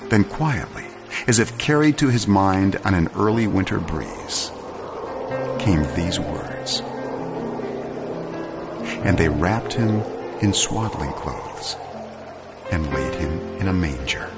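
A man giving a dramatic scripted story reading, measured and solemn, with deliberate pauses and expressive phrasing. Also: low-pitched, loud music behind the voice. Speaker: storyteller, narrator.

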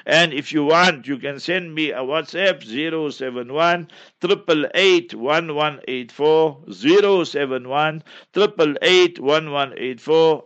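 A man's voice: a little high, a bit bright, dull audio. A man from South Africa with a South African accent, whose first language is Memon, answering listener questions as an Islamic scholar in an emphatic, preacherly way.

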